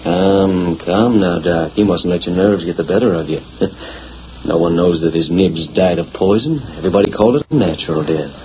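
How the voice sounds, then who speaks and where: An actor playing a smug, scheming blackmailer in a 1940s radio western, mocking and coolly threatening in a mock-genteel way. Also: male voice, low voice, fairly quick, close to an everyday voice. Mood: coolly menacing. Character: smug, menacing male villain, a scheming blackmailer. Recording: dull, faintly noisy 1940s radio recording.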